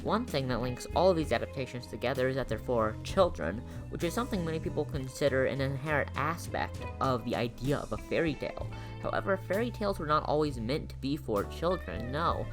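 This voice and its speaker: Medium-pitched man narrating a video essay. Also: expressive, a little fast, music in the background.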